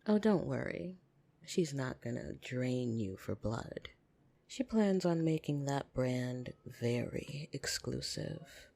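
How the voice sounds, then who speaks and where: A voice actor playing a flirtatious, possessive upper-class vampire in an intimate ASMR roleplay, teasing and soft-spoken with breathy whispers. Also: medium pitch, lively intonation, takes it slowly, exaggerated voice. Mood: playful, flirtatious. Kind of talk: ASMR roleplay, intimate second-person monologue.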